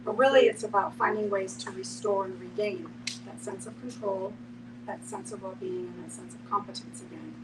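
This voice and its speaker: A woman's voice: mid-range voice, steady tone, normal speed. A woman explaining her thoughts reflectively in a group discussion, often saying "you know" as a podcast panelist. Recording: noisy, distant microphone, background hum.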